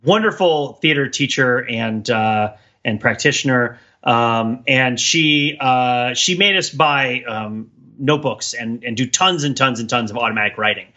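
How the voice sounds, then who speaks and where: A man's voice: medium pitch, a little slow, pushed and bright, dull audio. A man co-hosting a podcast in casual chat, discursive, thinking aloud and often checking his points with "right?". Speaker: podcast co-host.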